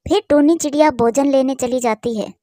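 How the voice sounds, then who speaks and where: A woman's voice: sits high, moderate pace, warm tone, no echo, studio quality. A woman reading scripted narration for a children's cartoon story.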